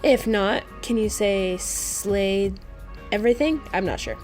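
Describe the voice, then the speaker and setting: A woman talks through a makeup tutorial as a casual vlogger, with a mid-pitched voice. She is informal, playful and openly gushing. Music plays behind her. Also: measured pace, darkish tone.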